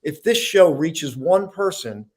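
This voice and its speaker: A man's voice: a little high, expressive, slow pace. A man hosting a talk show about poetry in an earnest, informal, heartfelt way.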